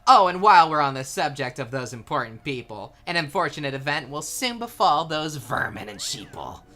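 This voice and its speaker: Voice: medium pitch, very animated, moderate pace, pushed and bright. A performer voicing a gloating, theatrical bear mastermind in an anime game fandub, pompous and taunting. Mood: gloating, teasing.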